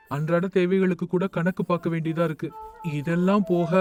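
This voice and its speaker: A man's voice: high-pitched, natural voice. A man playing a practical, discontented younger brother who speaks in a matter-of-fact, complaining way in a family story. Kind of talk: dramatised moral story. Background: faint music.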